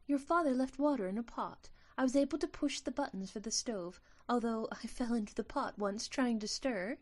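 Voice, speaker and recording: A woman's voice: fairly high pitch, normal speed, lightly acted. A woman playing a tiny, affectionate sylph in an audio drama, innocent and cryptic, with precise, formal phrasing. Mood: plaintive.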